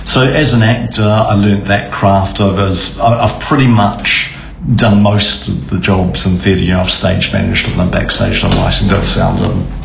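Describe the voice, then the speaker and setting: A middle-aged man with a New Zealand accent, a theatre chief executive answering radio interview questions in a measured, thoughtful way with frequent ums and restarts. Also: deep voice, fairly even intonation, creaky. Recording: roomy, dull sound with faint background noise.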